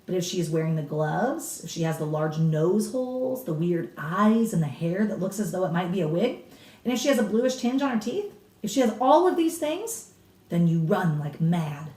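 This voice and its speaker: A woman's voice: on the low side, sing-song, moderate pace, a little mellow. A woman reading a storybook as a grave, deadly serious elderly grandmother who stretches key words, in a roomy, distant recording. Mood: solemn warning. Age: older. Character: grave elderly grandmother solemnly warning about witches. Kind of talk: dramatic children's storybook reading. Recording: roomy echo, distant mic.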